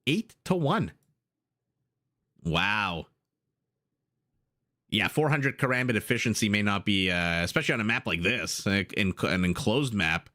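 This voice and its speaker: A man giving excitable live commentary on a strategy game, his voice raspy and his throat dry, with fillers and laughs. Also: lowish voice, unhurried, pauses often. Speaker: game caster. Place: home room.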